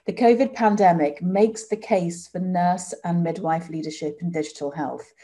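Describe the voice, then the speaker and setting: A woman's voice: lowish voice, warm tone. A woman in her forties chairing a conference panel, reading formal introductions over a video call.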